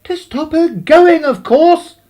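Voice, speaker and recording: A man's voice: extremely high pitch, takes it slowly, darkish tone. An actor playing a stern, domineering middle-aged English clergyman father in a radio drama, pompous and scornful. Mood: exasperated.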